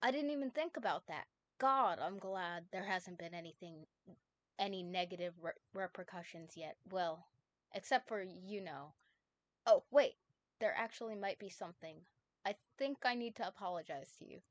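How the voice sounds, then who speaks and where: A woman's voice: lowish voice, moderate pace, dry recording, highs cut off, close to an everyday voice. A woman voicing a skeptical, eager teenage boy while reading a story aloud, in a dry close recording. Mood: earnest. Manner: reads dialogue in her own voice.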